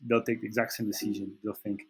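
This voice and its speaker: A man's voice: fairly low pitch, level tone, fast, husky. A man with a Portuguese accent, a native Portuguese speaker, hosting a trading analysis and talking through charts with many fillers and restarts. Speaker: trading channel host. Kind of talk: screen-share market analysis.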